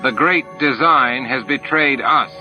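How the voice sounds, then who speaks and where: A man acts out a hard, righteous female alien rebel in an audiobook drama. The voice is raised in pitch, resolute and accusing, on a dull recording with music. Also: unhurried, close to an everyday voice. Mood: righteous anger. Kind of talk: audiobook character acting.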